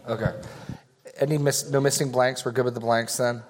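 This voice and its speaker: A man's voice: mid-range voice, fairly even intonation, brisk. A man leading a Bible study group, teaching casually and taking questions, rambling a little, correcting himself and joking in asides.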